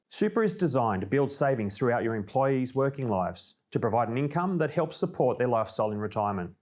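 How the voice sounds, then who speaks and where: A man with an Australian accent presenting a scripted informational update in a clear, orderly, explanatory way. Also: medium pitch, a little mellow. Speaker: presenter of a company newsreel.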